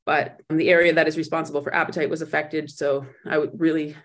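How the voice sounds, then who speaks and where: A woman guest on an interview podcast, recounting her own story in a reflective way, often pausing and restarting as she searches for words. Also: low voice, little pitch movement. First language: not German; speaks in English.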